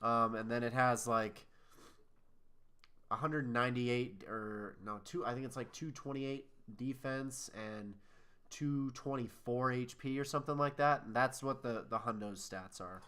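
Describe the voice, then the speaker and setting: A man's voice: medium pitch, steady tone, a little slow, a bit bright, smooth. A man co-hosting a casual podcast chat, sounding nasal and congested from a cold, rambling and correcting himself as he talks. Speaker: podcast co-host.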